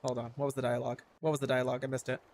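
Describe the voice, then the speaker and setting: A man's voice: medium pitch, level tone, very fast, darkish tone. A man giving livestream reaction commentary on a game, talking to himself and guessing aloud. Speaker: game streamer. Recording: music behind the voice.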